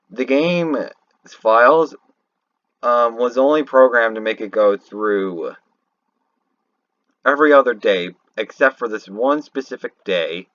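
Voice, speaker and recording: Slow, halting man in a roomy lo-fi recording. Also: medium pitch, bright, forceful tone. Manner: halting, thinking aloud, often correcting himself mid-sentence. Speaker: fan commentator on a video game. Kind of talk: unscripted rambling commentary and theorizing. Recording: roomy, dull lo-fi home recording.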